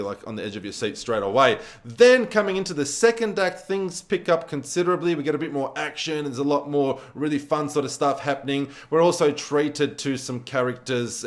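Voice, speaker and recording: Man, mid-pitched voice, casual film reviewer, conversational, repeats words for emphasis. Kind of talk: casual spoken movie review to camera.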